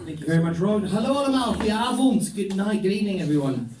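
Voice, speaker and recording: Wry high-voiced comedian, echoey club. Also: male voice, fast, heavy background noise. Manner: dry, ironic storytelling with deadpan asides. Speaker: stand-up comedian. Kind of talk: stand-up set. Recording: echoey room, voice from a distance.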